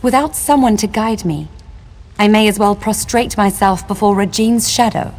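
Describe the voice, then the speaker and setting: A woman's voice: medium pitch, normal speed, a little mellow, natural voice. An actress playing a noble elven lady in a video game, long imprisoned and yearning for home, speaking in formal theatrical diction. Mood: distraught. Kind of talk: video game character acting.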